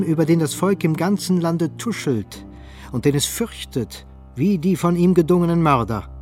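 Slightly high-voiced male radio play narrator. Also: a little mellow.

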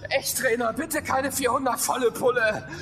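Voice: mid-range voice, fairly even intonation, normal speed, close to an everyday voice. A performer playing an earnest child athlete in a radio drama, in a childlike manner. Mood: pleading. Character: pleading, eager child athlete talking of video games. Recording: loud music behind the voice.

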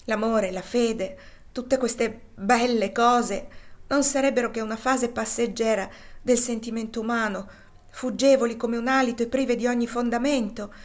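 A woman's voice: on the high side, normal speed, close to an everyday voice. A woman voicing a grieving male plantation master full of doubt, in an anguished, questioning tone, as in an audiobook. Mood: despairing. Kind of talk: audiobook character reading.

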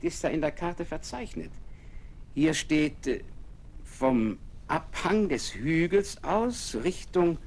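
A lively, commanding nobleman. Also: a little high, exaggerated voice. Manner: commanding, enthusiastic planner. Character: eager, self-assured nobleman, middle-aged man.

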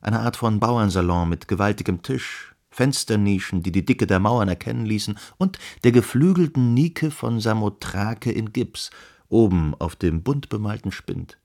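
A man's voice: lowish voice, moderate pace, creaky, darkish tone, dry recording, studio quality. An actor playing a cultured man recounting a country outing in composed, articulate radio drama storytelling. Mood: descriptive.

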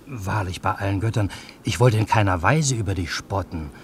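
A man's voice: fairly low pitch, normal speed, slight background noise, natural voice. An actor playing a hesitant, deferential man in a radio play, speaking with careful, formal politeness. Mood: earnest, hesitant. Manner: cautious, mock-formal politeness. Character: hesitant, deferential man humouring a strange visitor.

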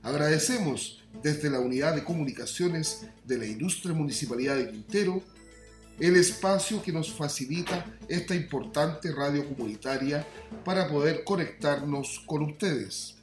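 A man's voice: a little high, lively intonation, normal speed. A man with a Chilean accent hosting a radio news programme in a formal, scripted style. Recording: roomy echo, music bed.

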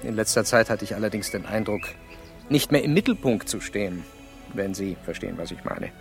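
A status-conscious businessman in a radio play, his voice low and creaky. He talks briskly and formally, sure of his own importance. Music plays clearly behind him. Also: animated. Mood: self-assured, defensive. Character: self-assured, status-conscious industrial executive with delicate secrets.

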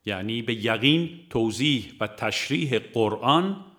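A man reading a religious audiobook in a measured, formal and deliberate scripted narration. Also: mid-range voice, animated, fairly bright tone. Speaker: audiobook reader.

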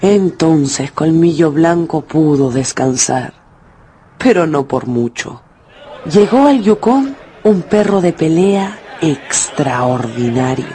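A man's voice: fairly high pitch, lively intonation, takes it slowly. A man telling a brutal fight tale as a dramatic narrator in a scripted radio drama. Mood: measured. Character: animated male storyteller of a brutal fight tale. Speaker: second narrator. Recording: loud music and crowd behind.

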